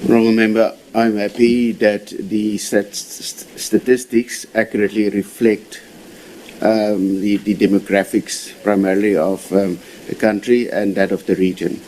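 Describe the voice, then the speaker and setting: A man's voice: on the low side, flat delivery, measured pace, a little mellow, a little hiss or hum, poor audio. A man, a human resources chairperson answering formally and hesitantly in a committee room. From South Africa. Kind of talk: formal testimony.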